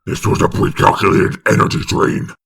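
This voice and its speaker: A man with a very gravelly, breathy rasp giving dramatic scripted narration of a comic book. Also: normal speed, a bit bright.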